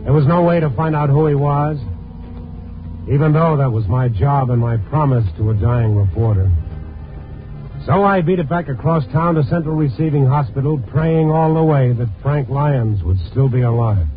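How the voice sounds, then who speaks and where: An actor playing a dogged, world-weary newspaper reporter in the hard-boiled style of 1950s radio drama. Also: male voice, medium pitch, moderate pace, phone-quality sound, faint music behind, close to an everyday voice. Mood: grim, determined. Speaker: lead and narrator. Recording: band-limited vintage radio recording.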